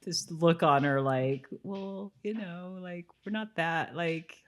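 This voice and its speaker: A woman's voice: lowish voice, slow. A woman co-hosting a podcast, talking casually about a TV show with playful, sarcastic asides. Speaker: podcast co-host. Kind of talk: casual TV rewatch discussion with some recap narration.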